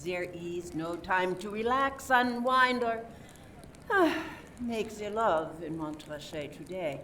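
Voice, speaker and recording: A theatrical lady police inspector from France, acted by a woman in an amateur radio mystery. She is suspicious and accusatory, speaking with deliberate drama. She is a little far from the mic, with faint noise behind her. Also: on the low side, lively intonation, pushed and bright, full character voice. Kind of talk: amateur radio play acting.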